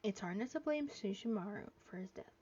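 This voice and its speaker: A woman streams a game with a husky, breathy voice at an average pitch. She reads story dialogue aloud and reacts to it, now and then tripping over words. The sound is slightly dull and lo-fi. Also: expressive, fairly quick, warm tone. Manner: stumbles over words while reading.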